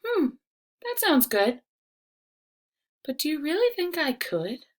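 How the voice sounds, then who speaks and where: A woman's voice: high voice, very animated, slow pace, pitched above the performer's own voice, full character voice. A woman voicing an unsure, eager little boy who wonders and questions in a storybook read-aloud. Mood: unsure, hopeful.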